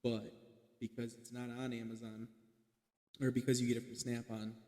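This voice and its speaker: A man's voice: mid-range voice, flat delivery, moderate pace, mellow. Rambling young man in an echoey room. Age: 20s. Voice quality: self-described light boyish voice. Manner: rambling, heavy "like" fillers, swears casually. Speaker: podcast guest. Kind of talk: casual podcast chat. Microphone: podcast mic. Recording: echoey room with long reverb.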